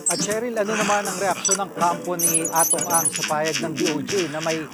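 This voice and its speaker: A man's voice: on the high side, flat delivery, brisk. A man with a Filipino accent delivering scripted news as an anchor. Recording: loud music over the voice. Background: loud music.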